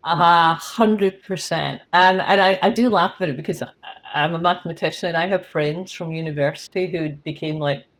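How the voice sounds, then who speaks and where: A woman's voice: on the low side, moderate pace. A middle-aged woman with a Scottish accent, talking candidly and chattily as a guest on an interview podcast. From Scotland. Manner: candid, chatty, laughs at herself. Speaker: guest, company CEO.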